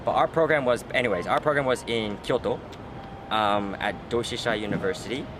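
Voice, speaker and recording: A man's voice: on the low side, fairly quick. A young man hosting a casual chat, talking hesitantly with lots of fillers and restarts. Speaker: host. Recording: noisy outdoor street.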